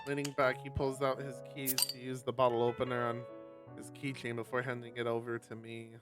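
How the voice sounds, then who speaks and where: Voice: medium pitch, little pitch movement, brisk, music in the background. A streamer reading a visual novel aloud on a live stream, narrating and breaking off for exasperated, sweary commentary.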